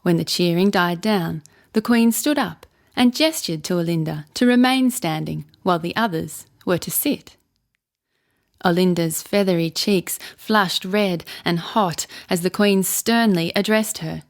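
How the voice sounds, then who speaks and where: Low-voiced woman telling a bedtime story. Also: a little slow. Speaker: children's storyteller. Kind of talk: scripted bedtime story narration.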